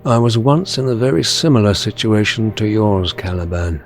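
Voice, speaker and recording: A man playing a grave, remorseful older mutant leader in an audio drama, speaking in a measured, solemn, formal manner. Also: fairly low pitch, expressive. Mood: sorrowful, solemn. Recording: music under the voice.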